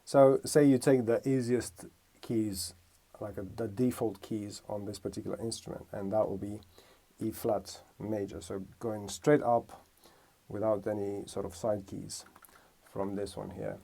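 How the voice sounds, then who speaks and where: A man's voice: on the low side, level tone, a little slow, gravelly, dark tone. A man from Romania giving a casual music lesson, thinking aloud and correcting himself as he explains. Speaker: music teacher.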